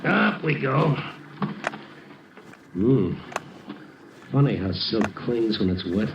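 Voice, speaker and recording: An American actor playing a wry, hard-boiled big-city newspaper reporter in an old radio detective drama, narrating and acting out scenes. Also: male voice, medium pitch, very animated, slow pace, creaky, recorded from a distance, noisy background, heavily acted. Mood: brisk concern. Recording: muffled, roomy old radio recording, music behind.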